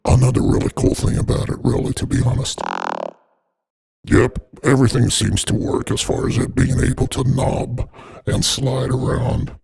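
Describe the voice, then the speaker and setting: Very gravelly, husky man through voice changer. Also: mid-range voice, level tone, moderate pace, a little mellow. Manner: sweary, irreverent. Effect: voice changer processing. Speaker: unboxing video narrator. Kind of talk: casual scripted product unboxing voice-over.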